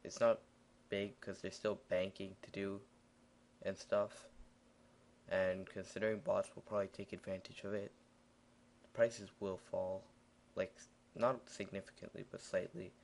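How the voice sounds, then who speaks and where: Tired raspy young man narrating gameplay. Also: low voice, little pitch movement, a little slow, long pauses, a little mellow, a little hiss or hum. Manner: rambling, with many fillers and restarts. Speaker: gaming video narrator. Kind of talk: casual gameplay voiceover.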